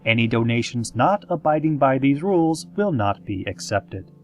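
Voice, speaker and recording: A man playing a calm, eerie small-town radio announcer, reading scripted parody news in a measured, theatrical, deadpan way. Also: medium pitch, faint music behind. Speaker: radio host in a parody podcast.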